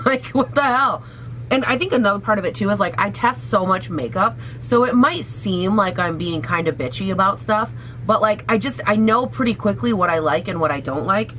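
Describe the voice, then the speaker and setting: A young woman with a raspy voice, hoarse from a cold, chatting casually and sassily through a makeup try-on at home. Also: mid-range voice, expressive, moderate pace, fairly bright tone, a little hiss or hum, poor audio. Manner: chatty, sassy, swears casually. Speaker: beauty vlogger.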